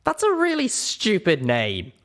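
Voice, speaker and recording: A man's voice: high-pitched, wildly swooping pitch, a little slow, fairly bright tone, voice pushed up, exaggerated voice. A man voicing an angry, weary young female pony, stubborn and defiant, with a blunt protesting manner in a storybook reading. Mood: blunt, irritated. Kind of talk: audiobook character voice in storybook reading.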